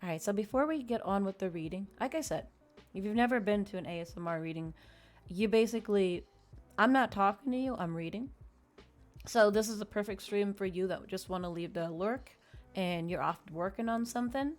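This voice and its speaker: A woman streamer talks in a loose, chatty way, addressing her viewers like friends. Her voice sits at a middle pitch for a woman. Music plays softly behind her as she hosts. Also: lively intonation, fairly quick. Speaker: streamer hosting a live stream. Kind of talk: casual chatty live stream talk to viewers. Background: background music.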